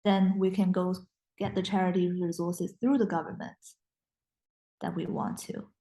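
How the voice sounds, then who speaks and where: A woman's voice: fairly low pitch, level tone, normal speed, dark tone. A young woman whose first language is Mandarin, a guest in a casual interview, speaking English with frequent restarts and fillers. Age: young adult. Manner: hesitant, many restarts and fillers.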